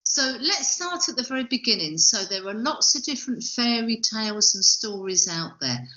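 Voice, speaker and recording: Bright-voiced woman hosting, slightly dull audio. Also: on the high side, expressive, normal speed. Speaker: podcast host. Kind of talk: conversational interview.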